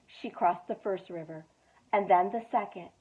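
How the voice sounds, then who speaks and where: A woman youth director reading a children's storybook aloud, expressive with dramatic pauses. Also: medium pitch. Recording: tinny phone-like audio.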